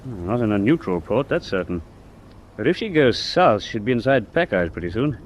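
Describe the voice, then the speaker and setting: A man playing a confident, practical British seafaring officer in a radio war drama, brisk and reasoning. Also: on the low side, very animated, highs cut off, slight background noise. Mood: analytical. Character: confident British naval man, practical, level-headed leader.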